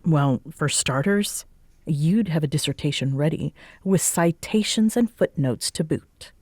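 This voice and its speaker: A woman's voice: low-pitched, expressive, a little slow, darkish tone, dry recording. A woman playing a tired, sarcastic bartender roommate who teases but is secretly fond, in an intimate roleplay audio. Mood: weary sarcastic. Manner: sarcastic, teasing, hesitant when sincere. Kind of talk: roleplay audio acting, intimate one-sided conversation.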